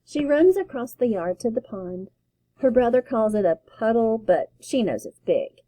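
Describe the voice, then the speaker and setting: A woman teacher reading a children's picture book aloud, with slow, expressive storytelling. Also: fairly high pitch, dry recording, poor audio.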